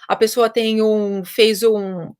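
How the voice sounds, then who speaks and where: A woman's voice: medium pitch, fairly even intonation, slow pace, a little mellow, clear, resonant tone. A young Brazilian woman, a personal trainer, chatting casually and explaining things to viewers, with lots of fillers. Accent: Brazilian Portuguese. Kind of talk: casual live chat answering viewer questions.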